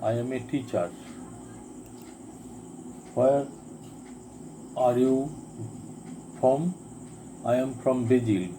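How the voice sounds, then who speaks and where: Man, slow deliberate drill reading, crickets chirping loudly behind. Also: medium pitch, noisy background. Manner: deliberate, repeats each sentence twice. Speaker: English teacher. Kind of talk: spoken English lesson, reading drill sentences.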